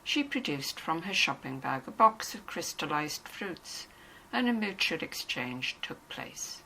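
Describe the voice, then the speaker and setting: A woman's voice: low-pitched, big pitch swings, measured pace, gravelly, pushed and bright, low-quality recording. A woman narrator reading a novel in a scripted, storytelling style. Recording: faint background noise and ambience.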